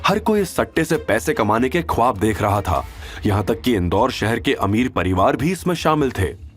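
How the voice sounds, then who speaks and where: A man narrating a scripted audio drama in an expressive storytelling manner. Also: fairly low pitch, moderate pace, soft background music. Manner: expressive storytelling, does all characters.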